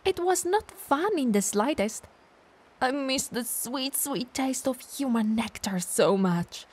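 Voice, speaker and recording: A woman's voice: high-pitched, animated, slow, breathy edge, heavily acted. A woman playing a playful, clumsy, bloodthirsty female vampire who mutters theatrically to herself in an ASMR audio roleplay. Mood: musing, wistful.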